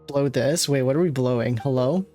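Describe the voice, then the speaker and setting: Female game streamer: low pitch, casual joking commentary, thinking out loud over faint music. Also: moderate pace. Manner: joking, musing aloud. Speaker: gaming streamer.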